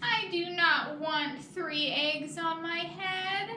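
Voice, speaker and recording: A woman voicing an anxious, exasperated elephant in a children's picture book, with drawn-out, incredulous exclamations. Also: very high-pitched, sharp tone, full character voice. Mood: exasperated disbelief. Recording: echoey, noisy room, far from mic.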